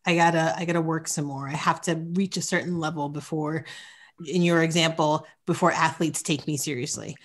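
A woman in her forties hosting a podcast interview, upbeat and encouraging, quick to praise her guests. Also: low voice, steady tone. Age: 40s. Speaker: podcast host. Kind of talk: casual interview chat.

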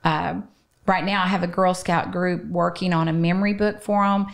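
A woman's voice: fairly low pitch, steady tone, normal speed, gravelly. A middle-aged woman, answering interview questions conversationally as a guest from a children's shelter. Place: small podcast studio, indoors. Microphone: close studio microphone on boom arm.

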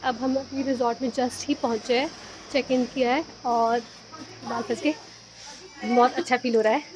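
Young female travel vlogger, highish voice, casual and playful, noisy handheld phone sound. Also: fairly even intonation, normal speed. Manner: playful, mixes in English phrases. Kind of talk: casual vlog commentary among friends.

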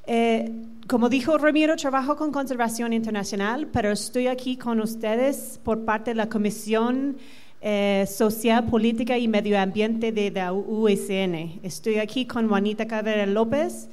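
Middle-aged American woman, native English speaker, presenting at a conference. Fairly high voice, quick and halting delivery. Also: steady tone, darkish tone. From United States. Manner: quick, with many fillers and restarts. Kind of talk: conference talk. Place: large hotel conference room. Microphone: handheld microphone.

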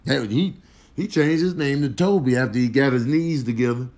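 A man's voice: medium pitch, normal speed, a little mellow. An American man giving comedic commentary on clips, exaggerating with slangy, incredulous delivery.

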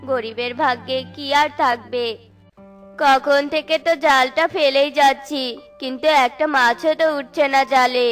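Lady doing a poor little bird dad, high voice, humble n pleading, kids cartoon. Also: fairly even intonation, unhurried, soft background music. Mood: downcast, resigned. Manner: plaintive, pleading. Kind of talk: children's cartoon voice acting.

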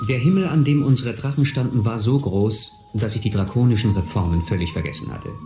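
A man's voice: mid-range voice, fairly quick, a bit bright, roomy sound, faint music behind, natural voice. An actor narrating a radio drama as a man recounting his boyhood street adventures. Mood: reflective.

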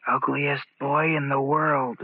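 An actor playing a taunting, haunting voice in someone's head in an old radio horror play, with a husky, chanting delivery. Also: male voice, medium pitch, little pitch movement, takes it slowly, dry recording. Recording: dull, narrow old radio recording.

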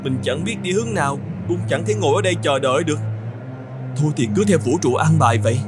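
A man voicing an excitable, self-pitying young male camel in an animated fairy tale, exclaiming and talking to himself. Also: on the high side, quick pace, over music, lightly acted. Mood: anxious, self-reassuring. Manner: exclamatory, whining, talks to himself.

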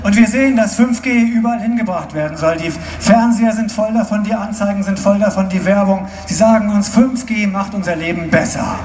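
A young woman giving a loud, declaiming live translation at an outdoor rally through a handheld mic. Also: mid-range voice, fairly quick, few pauses. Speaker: interpreter. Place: outdoor stage at a large crowd rally. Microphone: handheld mic, filmed from far in the crowd. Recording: echoey, distant crowd phone recording. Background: cheering crowd and ambient noise.

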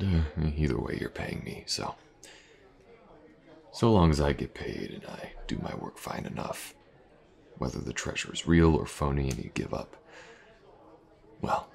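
A tough sellsword, acted by a man, speaks in an exceptionally deep voice full of gravel and fry. He is laid-back and musing, murmuring his lines with unhurried pauses. The delivery suits an intimate fantasy audio roleplay. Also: big pitch swings, a little mellow, heavily acted. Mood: intrigued, wry. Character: tough, easygoing male mercenary warrior for hire. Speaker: voice actor.

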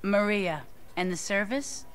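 Actress as a slow, sassy waitress. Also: female voice, mid-range voice, close to an everyday voice. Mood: sassy, flirty. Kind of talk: video game cutscene acting.

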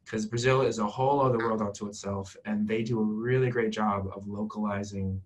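A young man speaks in a low voice on a video call from a small bright room. He talks in an animated, rambling way, full of fillers. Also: a little fast. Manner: animated, loose, lots of fillers. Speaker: guest from a music data company. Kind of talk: casual video-call interview.

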